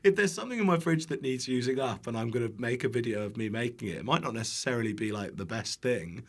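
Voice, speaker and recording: A man's voice: medium pitch, expressive, fast talker. A British man, an online cooking creator and podcast guest, chats casually in a jokey, self-deprecating way, with casual swearing. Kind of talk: casual chat.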